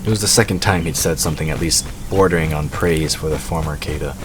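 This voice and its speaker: A man's voice: a bass voice, fast. A man reading scripted fantasy narration for an audio drama. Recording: clearly noisy background ambience.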